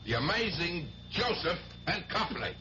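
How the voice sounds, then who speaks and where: A man's voice: a little high, sing-song, moderate pace, noisy background. An actor playing a breezy, streetwise small-time club owner and showman in a 1970s radio drama. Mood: grand announcing. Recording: muffled 1970s radio broadcast, loud music behind.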